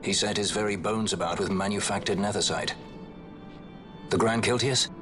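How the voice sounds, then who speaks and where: An actor playing a cool, roguish adventurer in a game cutscene, with a dry, understated and practical delivery. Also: male voice, on the low side, moderate pace, natural voice. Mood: wary. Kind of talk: game cutscene drama acting. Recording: game music behind the voice.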